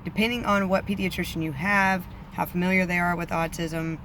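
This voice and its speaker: Woman, allergy-rough voice, flat even delivery, chatty vlog with faint street noise behind. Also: on the low side, a little fast. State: voice a bit off from allergies. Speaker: vlogger, parent. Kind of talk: casual advice vlog.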